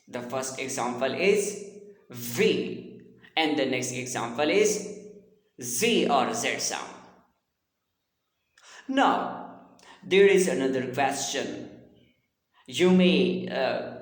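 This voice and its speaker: A man delivers a lesson at an extremely slow, deliberate pace. His voice sits a little high for a male speaker, and he explains formally, restating his points. The sound is echoey, with a long reverberant tail. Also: a bit bright. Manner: deliberate, repetitive teaching with formal address to listeners. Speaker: linguistics lecturer.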